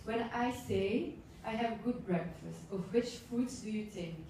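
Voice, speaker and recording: A young woman from Belgium, Dutch her first language, leads a classroom session in plain, careful English with a mellow voice. She is heard from a distance in a very echoey room. A faint hiss sits under the talk. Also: medium pitch, a little slow. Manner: simple careful English, asks the room questions. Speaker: intern presenter.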